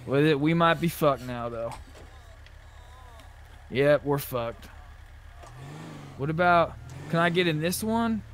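A man's voice: on the high side, expressive, takes it slowly, long pauses, a bit bright. A man chatting casually and informally, with some swearing, as he plays an online roleplay game. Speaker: video game player. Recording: noisy game voice chat.

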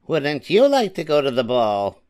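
A man puts on a vain, horrid young stepsister from a storybook. She taunts and sneers haughtily, in a raised pitch that swoops about, recorded very dry. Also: animated, normal speed, no room sound, lightly acted. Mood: cruel teasing. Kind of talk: storybook character voice.

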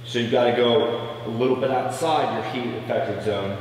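A man's voice: mid-range voice, fairly even intonation, moderate pace, darkish tone, slight background noise. A man in his thirties giving plain-spoken, practical welding instruction in a large metal shop, recorded on a camera mic some distance away. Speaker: welder demonstrating fabrication. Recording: echoey shop with long reverb.

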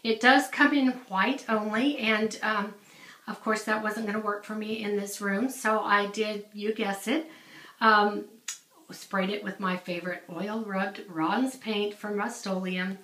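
A woman's voice: medium pitch, steady tone, unhurried, sharp tone. Chatty woman touring her laundry room. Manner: chatty, many ums and restarts. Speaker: home organizing vlogger. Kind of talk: casual home tour narration. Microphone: handheld camera, off screen. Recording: echoey small tiled room.

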